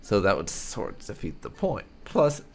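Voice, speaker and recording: A man hosts a casual game playthrough in a low voice full of creak. He thinks aloud slowly, in halting phrases with wide swings of intonation. Faint music plays behind him. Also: wildly swooping pitch, a little hiss or hum. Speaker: gaming video host.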